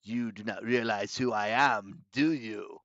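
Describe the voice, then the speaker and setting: A man's voice: lowish voice, a bit bright, no room sound, lo-fi sound. A man voicing a menacing monster in disguise, pompous and condescending, in a storybook reading. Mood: cold threat. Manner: pompous, condescending, deliberate villain delivery. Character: menacing, gloating male monster disguised as a schoolmaster.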